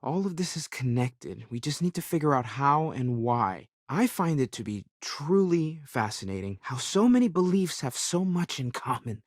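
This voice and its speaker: A man's voice: on the high side, big pitch swings, moderate pace, few pauses, dry recording, clean studio sound. A man playing an earnest, curious young space explorer of faith in a video game, speaking thoughtfully and at times hesitantly. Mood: earnest wonder. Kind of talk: game dialogue voice lines.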